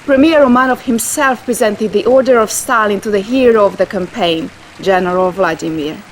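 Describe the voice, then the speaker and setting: A woman's voice: medium pitch, moderate pace, a little hiss or hum, lightly acted, low-quality recording. A woman playing a proud state propaganda newsreader, formal and declamatory, in a scripted broadcast for a video game cutscene. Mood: proud, official.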